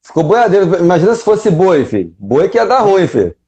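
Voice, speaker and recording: Guy hosting a live chat, jokey slangy buddy talk, lively pitch. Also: brisk. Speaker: host. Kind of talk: casual live interview.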